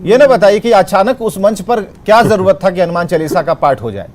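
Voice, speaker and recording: High-pitched man, insistent debate host. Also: fairly quick, a little mellow. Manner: insistent, challenging, repeats questions. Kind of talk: combative TV debate moderation.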